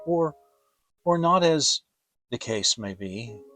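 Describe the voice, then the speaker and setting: A man voicing a gentle, humble, slow-witted toy bear in a storybook, plaintive and hesitant. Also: medium pitch, lively intonation, faint music behind. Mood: dreamy, wistful.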